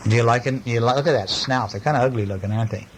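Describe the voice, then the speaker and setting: Coaxing dad on a noisy home recording. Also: male voice, mid-range voice, rapid-fire. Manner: coaxing, praising and prompting with questions. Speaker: father reading to his young children. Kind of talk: casual picture-book chat with small children, asking questions.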